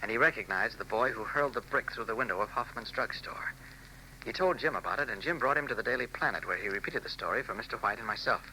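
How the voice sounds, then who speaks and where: A brisk, steady reporter. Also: male voice, mid-range voice, a little hiss or hum, low-quality recording. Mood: explaining. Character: steady, concerned adult newspaper reporter. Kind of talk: 1940s radio acting.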